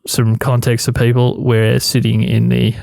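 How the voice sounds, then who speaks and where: A man's voice: mid-range voice, completely flat delivery, unhurried, gravelly, darkish tone, no room sound. A man hosting a podcast interview, friendly and a bit rambling, in a studio. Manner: friendly, rambling, self-deprecating. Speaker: podcast host. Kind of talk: casual interview. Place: radio studio.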